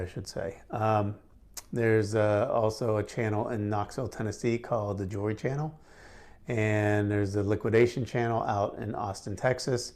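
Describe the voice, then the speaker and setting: A man's voice: lowish voice, darkish tone. A middle-aged man hosting a casual question-and-answer lesson on gemstones, friendly and chatty with frequent fillers and restarts. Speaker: gemstone instructor and host. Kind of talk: casual Q&A to camera.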